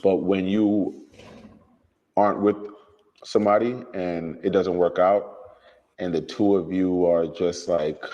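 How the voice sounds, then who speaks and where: A man speaking in a measured, calm and deliberate way in a recorded interview. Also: low-pitched, dull audio. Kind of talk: recorded interview, speaking about his family. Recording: clip with long room reverb.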